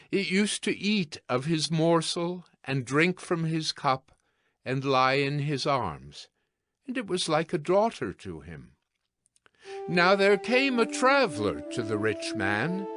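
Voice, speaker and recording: Stern biblical prophet, slow, deliberate, swooping pitch, dramatic, music underneath. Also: male voice, fairly bright tone, dry recording, clean studio sound, full character voice. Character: solemn, stern male prophet speaking for God. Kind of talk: dramatized scripture storytelling.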